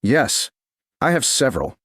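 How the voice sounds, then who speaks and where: A man voicing a stern, wounded duke in a romance audiobook, speaking in terse, sharp, demanding lines. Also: mid-range voice, big pitch swings, unhurried, husky, dry recording, clean studio sound, pitched above the performer's own voice, full character voice. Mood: cold disbelief.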